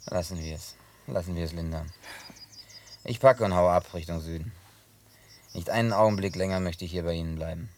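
Low-voiced grumbling drifter played by a man. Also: fairly quick, long pauses, a little mellow, close to an everyday voice. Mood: annoyed, resigned. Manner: colloquial, grumbling storyteller. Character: gruff, hot-headed but harmless male drifter, former bar owner. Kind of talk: German radio play acting.

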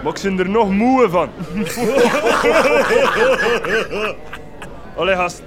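An actor playing a boozy, lecherous village farmer with a Flemish accent, tipsy and rambling in a radio comedy drama. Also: male voice, sits high, lively intonation, drawn-out words, a crowd in the background, heavily acted. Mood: tipsy, jovial.

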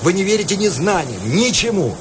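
An actor playing a cultured, exasperated university professor in a radio play, lecturing in an articulate, indignant way. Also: male voice, high voice, very animated, fairly quick, noisy background. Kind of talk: Russian radio play acting.

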